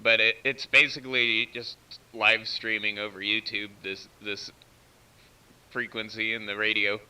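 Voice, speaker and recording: A man's voice: fairly low pitch, level tone, unhurried, pushed and bright, smooth. An American man chatting casually over CB radio, explaining technical things in an informal, step-by-step way. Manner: explanatory, informal, walks through tech details. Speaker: CB radio operator running a live stream. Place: CB radio station, heard through live feed. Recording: distant-sounding CB radio feed.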